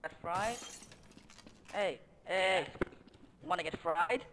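A gamer chatting in an online game, often confused and protesting, and getting loud when excited. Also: medium pitch, slow, noisy background. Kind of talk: casual game chat. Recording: game music and gunfire behind.

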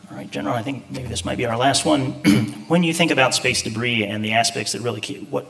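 A man in his thirties moderating a conference interview from a stage, asking quick, informal questions. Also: medium pitch, gravelly, a bit bright.

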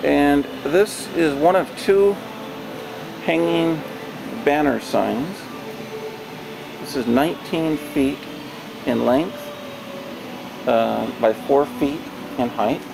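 A man with a rough, gravelly voice walks a large warehouse with a handheld camera, showing off a used trade show booth for sale. He talks plainly, with lots of pauses and fillers. Steady background noise from air conditioning and vehicles runs under the camera audio. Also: a little high. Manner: plain, unhurried sales description with many pauses and fillers. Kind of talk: walkthrough tour. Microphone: handheld camera held by the speaker.

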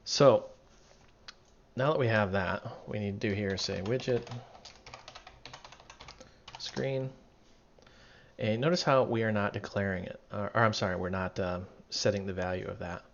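A man with a voice going raspy, walking through code in a casual tutorial, thinking aloud and correcting himself as he goes. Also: lowish voice, a little slow, long pauses, slight background noise. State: losing his voice, raspy. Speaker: programming tutorial instructor. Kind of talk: casual screen-recorded coding walkthrough. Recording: dull lo-fi mic, keyboard typing behind. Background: keyboard typing and clicking.

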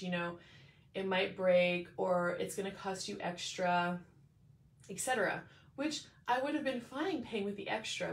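A woman in her thirties, host of her own coffee chat, talking casually and reflectively to a phone camera at home. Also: medium pitch. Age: 30s. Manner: animated, reflective, speaks with hand gestures. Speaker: life coach and show host. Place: small room indoors at home. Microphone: phone camera at arm's length. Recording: echoey room.

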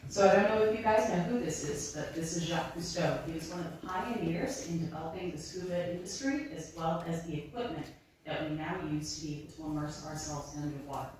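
Woman giving a chatty talk in a library, lowish voice, super echoey room, mic kinda far off. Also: a little fast, a little mellow, a little hiss or hum. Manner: friendly, chatty presenter addressing the audience directly. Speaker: maritime archaeologist giving a public talk. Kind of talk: public lecture with slides. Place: library room with bookshelves, indoors. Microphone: handheld microphone.

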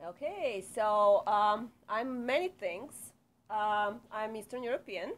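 Woman, middle-aged, Serbian accent, non-native English, hesitant, measured, small studio, camera mic. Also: mid-range voice, lively intonation. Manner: unhurried, frequent hesitations, light laughs. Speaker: psychotherapist guest. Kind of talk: casual interview.

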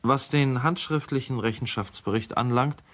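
An actor playing a careful lawyer who gives a formal, factual statement in a radio play. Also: male voice, medium pitch, normal speed, natural voice. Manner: formal, dry, precise.

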